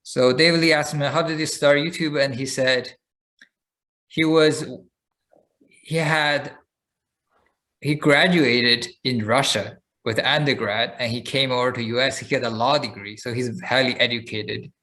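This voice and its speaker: A man's voice: medium pitch, fairly even intonation, a little slow, sharp tone. A man co-hosting a podcast, chatting casually and telling a long story with frequent fillers and restarts. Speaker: podcast co-host. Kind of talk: casual chat.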